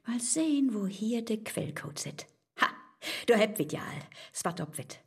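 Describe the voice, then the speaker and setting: A bold, cunning woman in a comic radio play, speaking northern Low German. Her husky voice swings widely from theatrical exclamations to conspiratorial near-whispers, all in rhyme. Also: big pitch swings, a little slow, heavily acted. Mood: sly, plotting.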